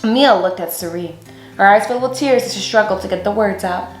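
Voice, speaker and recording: A young woman reading a children's picture book aloud as a storyteller, with deliberate, expressive phrasing in a small room. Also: medium pitch. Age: young adult. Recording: roomy echo, faint music underneath.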